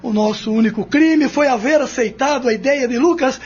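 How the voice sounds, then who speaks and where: Dull lo-fi audio with prominent music carries an actor as a distraught young male defendant. His voice is very high and tearful as he confesses in a radio-style drama, desperate to be believed. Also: normal speed, darkish tone, husky. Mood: tearful, anguished. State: crying.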